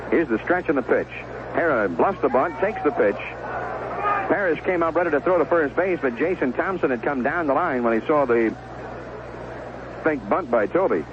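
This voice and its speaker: A man's voice: mid-range voice, expressive, normal speed, muffled recording, noisy background. A man calling live baseball play-by-play in short, clipped phrases and conversational description, heard on a 1970s radio broadcast. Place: stadium broadcast booth. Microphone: broadcast microphone with headset earphone monitoring.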